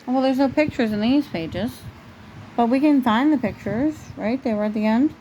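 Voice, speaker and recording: A woman's voice: on the high side, animated, moderate pace, mellow, muffled recording. A woman reading a children's storybook aloud at home, as a parent would at bedtime. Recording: faint background noise, home recording.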